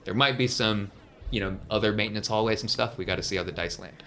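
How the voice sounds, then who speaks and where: Male game master, lowish voice, casual improvised narration for tabletop play. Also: fast talker, a bit bright, a little hiss or hum.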